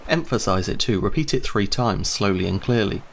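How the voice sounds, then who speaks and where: A man's voice: on the low side, lively intonation, moderate pace, gravelly, a little hiss or hum. A man giving a scripted instructional narration, explaining step by step in a conversational way.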